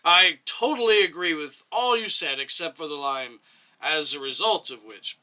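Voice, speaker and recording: A man's voice: fairly high pitch, normal speed, sharp tone, pitched above the performer's own voice. A man voicing a stern, sarcastic commission chairman who questions pointedly and overbearingly in a short story reading. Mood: brisk, dismissive.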